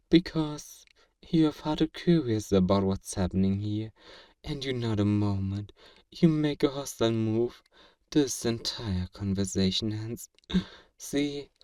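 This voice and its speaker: A man playing a feared fantasy villain in a flirtatious audio roleplay, measured, amused and condescendingly courteous, in an intimate ASMR acting style. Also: lowish voice, a little mellow, clean studio sound. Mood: teasing, fond. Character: feared male fantasy villain, suave, teasing, flirtatiously obsessive. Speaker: voice actor performing a roleplay. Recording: roomy echo, distant from the mic.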